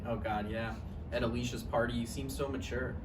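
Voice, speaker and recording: A man's voice: mid-range voice, steady tone, brisk, lightly acted. Guy acting needy awkward young ex boyfriend in a play reading, natural talk, echoey and noisy. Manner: awkward, conversational. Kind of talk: naturalistic stage play table read. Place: live table read in a room. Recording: distant mic, echoey room, noisy.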